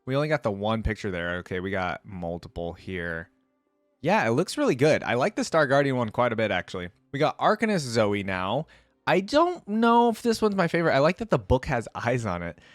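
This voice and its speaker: A man's voice: medium pitch, very animated, brisk, dry recording, soft background music. A man hosting a reaction video, giving casual, chatty commentary on a video game and thinking aloud in an easygoing way.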